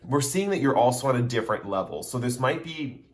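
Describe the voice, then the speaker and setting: A man's voice: mid-range voice, expressive, brisk. A man giving a casual pick-a-card tarot reading, talking straight to the viewer in a loose, rambling way that restates its points. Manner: chatty, speaks straight to the viewer. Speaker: tarot reader.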